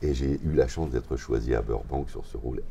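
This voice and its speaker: Very deep gravelly older man. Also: fairly quick, slight background noise. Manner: relaxed storyteller, gestures while talking. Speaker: voice actor, guest. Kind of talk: interview conversation. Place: hotel lounge, indoors. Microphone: lapel.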